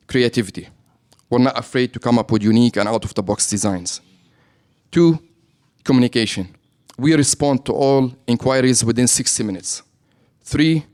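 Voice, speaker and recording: A man's voice: medium pitch, a little mellow. A man with a Lebanese accent, a business owner talking as a guest in a radio interview, who often checks in with "right?". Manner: explains with frequent "right?" tags.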